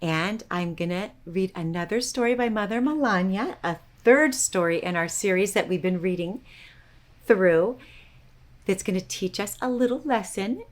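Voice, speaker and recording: A woman hosting a children's storytime, talking and reading to young listeners in a gentle, teacherly way. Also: mid-range voice.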